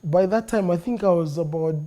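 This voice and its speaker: A man with a Zambian accent, a comedian being interviewed in a studio, animated and quick to laugh, slipping into local languages now and then. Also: sits high. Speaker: comedian guest. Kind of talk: interview talk.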